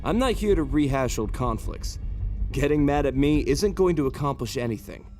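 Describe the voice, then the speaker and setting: A man's voice: mid-range voice, expressive, moderate pace, a little mellow, soft background music, lightly acted. A man playing a smug, taunting, flippant informant in an anime fan audio drama. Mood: mocking. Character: smug, mocking male informant under interrogation.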